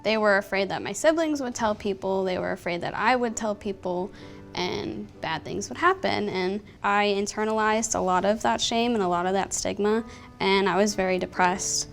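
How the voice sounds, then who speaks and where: Woman, 20s, from Pennsylvania, reflective testimony, gravelly fry, light music bed. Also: mid-range voice, normal speed. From Pennsylvania, USA. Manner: reflective, open. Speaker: interviewee. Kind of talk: personal testimony.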